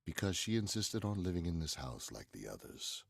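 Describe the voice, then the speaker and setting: An actor playing a menacing, commanding murderer in 1940s radio horror style, gravelly and theatrical. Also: male voice, low voice, big pitch swings, a little fast, no echo, clean studio sound, exaggerated voice. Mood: cold, menacing. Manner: theatrical, forceful. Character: menacing male murderer, commanding, coldly confessing.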